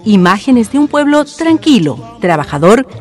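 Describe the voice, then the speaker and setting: A woman's voice: fairly high pitch, very animated, moderate pace, a little mellow, faint music behind. A woman with a Chilean accent reading a scripted narration for a radio documentary drama.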